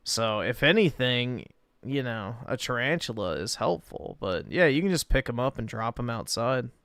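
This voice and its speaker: A man's voice: mid-range voice, sing-song, normal speed, vocal fry, fairly bright tone, dry recording. A man telling laid-back, rambling anecdotes in casual chat on a livestream.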